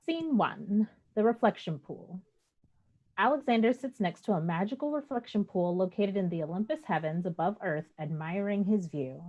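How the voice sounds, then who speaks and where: A woman's voice: mid-range voice, lively intonation, normal speed, a little mellow, dull audio. A woman narrating a play, reading scripted stage directions.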